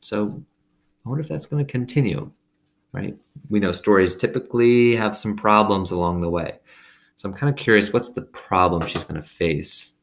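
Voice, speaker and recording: A man's voice: on the low side, moderate pace, gravelly. A man reading a children's story aloud expressively, with casual asides to his listeners. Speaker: reader reading a children's novel aloud to students.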